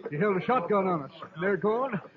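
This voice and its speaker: An actor playing a rough-spoken, folksy stage driver with a rural western American accent in an old radio western. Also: male voice, high-pitched, expressive, brisk. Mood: defensive, urgent. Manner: folksy, urgent. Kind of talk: 1950s western radio drama acting. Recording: tinny vintage radio, crowd and horses behind.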